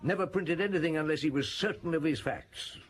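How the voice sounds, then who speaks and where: An actor playing a weary, wry French police chief inspector in a radio drama, speaking conversationally. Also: male voice, a little high, fairly quick, natural voice. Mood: reminiscing. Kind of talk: radio drama dialogue and reminiscence.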